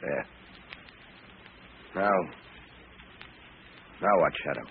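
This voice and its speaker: A man's voice: rumbling low, animated, very slow, vocal fry, exaggerated voice. A man acting a tough, surly, hard-boiled gangster in an old radio crime drama. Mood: beaten, sullen. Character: Spike, tough sneering male gangster killer. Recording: noisy, tinny old radio broadcast recording.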